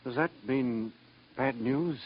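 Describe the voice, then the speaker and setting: An actor playing a polite, mild civilian scholar with a tentative manner, in a muffled 1950s radio drama. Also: male voice, medium pitch, slow, very noisy, close to an everyday voice. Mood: shaken, tentative.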